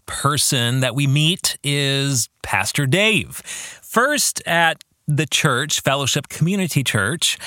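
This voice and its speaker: Male podcast host and author, earnest and reflective, unhurried delivery, bright tone. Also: medium pitch, no echo, clean studio sound. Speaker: podcast host and author discussing his book. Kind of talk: reflective book commentary, addressing the listener directly.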